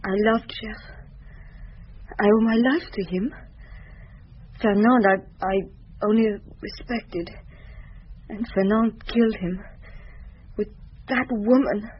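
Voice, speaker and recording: Sorrowful young wife, muffled radio sound. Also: female voice, on the high side, husky. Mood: sorrowful, bitter. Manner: halting, sparse confiding phrases. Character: grieving, subdued wartime refugee woman, now married. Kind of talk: radio drama acting. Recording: muffled, hissy old radio broadcast.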